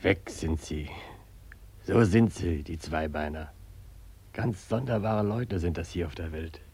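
A man acting in a radio play as an otherworldly observer of humans, husky, dryly mocking and musing. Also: low voice, unhurried, dark tone, exaggerated voice. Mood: sardonic, musing. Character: sardonic otherworldly onlooker musing on strange two-legged humans.

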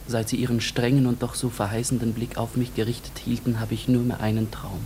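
An actor playing a yearning, formal admirer from the past in a radio drama. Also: male voice, medium pitch, level tone, fairly quick, darkish tone, a little hiss or hum, lightly acted.

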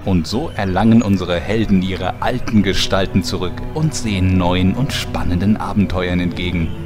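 A man's voice: deep voice, normal speed, natural voice. A man playing a solemn storyteller, giving scripted epic narration for a fantasy parody, with music under the voice.

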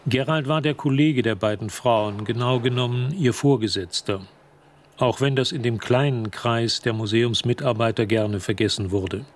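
An actor serving as the composed storyteller of a radio play, reading scripted literary narration. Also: male voice, mid-range voice, normal speed, a little hiss or hum, natural voice.

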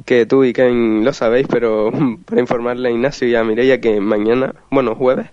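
Flat-toned man, muffled audio. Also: medium pitch, fairly quick, no room sound. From Canary Islands, Spain. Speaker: guest panelist. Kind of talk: casual radio chat. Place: remote connection to the show.

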